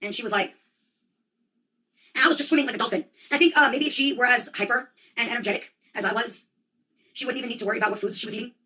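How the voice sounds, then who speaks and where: An American woman delivers a casual, rambling monologue at a very quick pace. She is slangy and theatrical, slipping into mock dialogue. The microphone sounds some distance away. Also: fairly high pitch, pushed and bright. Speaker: monologue host.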